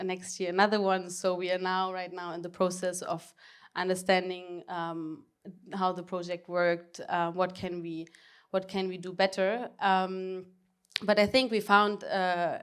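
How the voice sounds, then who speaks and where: A woman's voice: fairly low pitch, clean tone. A young woman from Germany speaking English with a German accent as a panel speaker, hesitant and full of fillers and restarts. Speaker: panel speaker, arts project co-manager. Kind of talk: panel talk.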